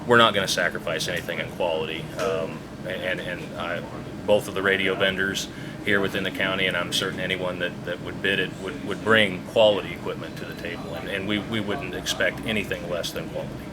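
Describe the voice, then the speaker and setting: A man giving unscripted interview answers as an official, explaining things in a halting, measured way. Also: deep voice, little pitch movement, noisy background. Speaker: public safety radio official being interviewed.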